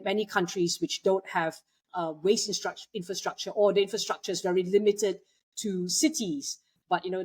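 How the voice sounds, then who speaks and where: Soft-spoken woman from Malaysia, hesitant. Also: fairly low pitch, slightly rough. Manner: hesitant, many fillers and restarts. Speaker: guest, waste technology entrepreneur. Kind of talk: conversational interview.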